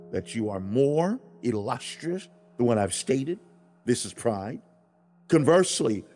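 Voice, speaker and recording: A stern, fatherly God as acted by a man lectures in a religious audiobook. The delivery is solemn and declamatory, with wide swings of pitch and rhetorical questions, over a music bed. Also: a little mellow. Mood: commanding, then stern, instructive. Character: God, male, speaking as stern fatherly teacher. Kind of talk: dramatized religious audiobook monologue.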